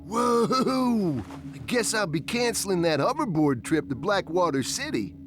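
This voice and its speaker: Casting: clueless game surfer slacker, high and animated, slangy drawl, music underneath. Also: male voice, a little slow. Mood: bragging, clueless. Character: goofy, clueless young male surfer-dude slacker. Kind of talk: cartoonish video game acting.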